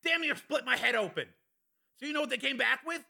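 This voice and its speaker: Big angry blue collar loudmouth, super high strained gravelly voice, foul-mouthed audiobook character. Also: male voice, rapid-fire, full character voice. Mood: aggrieved, bitter. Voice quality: raspy, strained shouting. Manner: blustering, coarse, slangy. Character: big, furious working-class man, hot-tempered and foul-mouthed.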